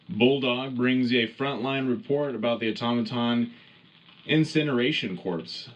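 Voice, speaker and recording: Patriotic radio anchor played by a man, mid-pitched, formal dramatic news delivery, slightly dull broadcast sound. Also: fairly bright tone, natural voice. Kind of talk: scripted in-world news broadcast.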